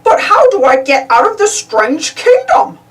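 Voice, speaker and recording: A woman's voice: extremely high pitch, huge pitch swings, measured pace, voice pushed up, exaggerated voice. A woman voicing a good-natured, bumbling male cartoon dog in a storybook, exaggerated and drawn out. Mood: puzzled. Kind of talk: storybook character voice. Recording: distant mic, noisy background.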